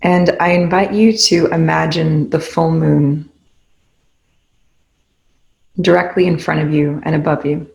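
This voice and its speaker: Low, even-voiced woman guiding meditation, creaky. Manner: calm, unhurried, gently instructive. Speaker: guided meditation leader. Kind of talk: guided meditation, slow spoken instruction.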